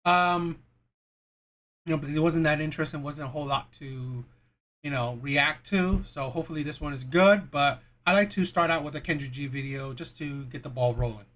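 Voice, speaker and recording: A man's voice: fairly high pitch, normal speed, pauses often, a bit bright. A man giving casual, opinionated commentary as a video host, in a rambling, repetitive way. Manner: rambling, repetitive, rhetorical questions. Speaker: video host reacting to dating clips.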